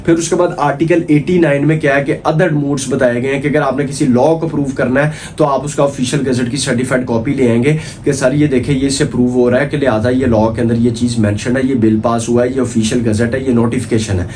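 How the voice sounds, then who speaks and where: A man's voice: mid-range voice, steady tone, brisk, few pauses, a little mellow, a little hiss or hum. A man with a Pakistani accent giving an informal, explanatory lecture, repeating points and checking in as he teaches. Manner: didactic, repetitive, checks in with "okay". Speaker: law lecturer. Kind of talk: informal explanatory lecture mixing Urdu and English.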